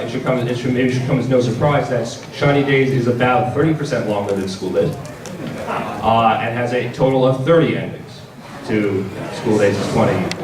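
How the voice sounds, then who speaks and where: A man presents at a convention panel with a halting, hesitant delivery and a mid-pitched voice. He is picked up from a distance in an echoey room, with crowd noise from the audience around him. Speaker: panel presenter for a game publisher.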